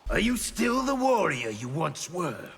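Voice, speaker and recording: An actor playing a scornful, hostile fantasy fighter in a fighting game, with a sneering, taunting manner. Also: male voice, high voice, very animated, a little slow, vocal fry, husky, full character voice. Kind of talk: fighting game character dialogue. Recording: loud music, long reverb.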